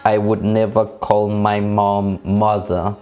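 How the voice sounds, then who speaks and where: A man from Denmark, raised speaking Danish and Polish, chats at a slow, unhurried pace. His voice sits a little low, and he talks hesitantly with many fillers and restarts while soft music plays behind him. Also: little pitch movement. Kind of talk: casual conversation.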